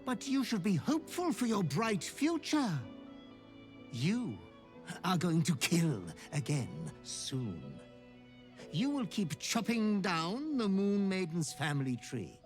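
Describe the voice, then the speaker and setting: An actor voicing a fawning, gleefully sinister fiend butler in a video game, with drawn-out, gushing, theatrical delivery. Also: male voice, sits high, very animated, soft background music, exaggerated voice. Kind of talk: video game character acting.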